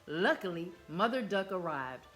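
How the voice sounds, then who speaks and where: A woman storyteller reads a children's picture book aloud, expressive and animated. Her voice is bright and her pitch swings widely. Faint music plays softly underneath. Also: normal speed.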